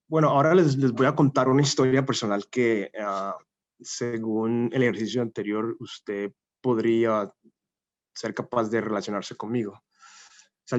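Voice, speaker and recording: A man giving an academic talk as a guest lecturer, speaking in a measured, hesitant way with many "eh" fillers. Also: medium pitch. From Colombia. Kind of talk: academic talk with a personal story. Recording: muffled lo-fi audio.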